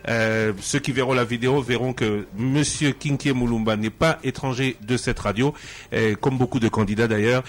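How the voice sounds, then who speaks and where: A man's voice: medium pitch, steady tone, moderate pace. A man with a Congolese accent presenting a radio programme, making announcements to listeners as the host. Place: radio studio.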